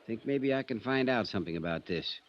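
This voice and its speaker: Actor as low-voiced folksy small-town constable. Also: male voice, expressive, brisk, close to an everyday voice. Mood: reasonable. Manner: plain-spoken, folksy, grudging. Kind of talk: 1950s radio drama acting. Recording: dull, noisy old radio recording.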